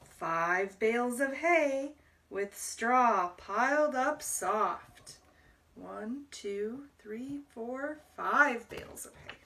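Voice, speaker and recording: Slow-paced woman reading to children, slightly distant. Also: a little high, lively intonation, bright, forceful tone. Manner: deliberate, explains words to young listeners. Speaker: library storytime reader. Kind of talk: children's picture book read-aloud.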